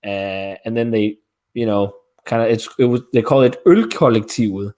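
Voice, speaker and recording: A man's voice: lowish voice, moderate pace, a little mellow. A young man with a Danish accent, hosting a casual live-stream chat, explaining at length with many fillers. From Denmark. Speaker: beer channel host.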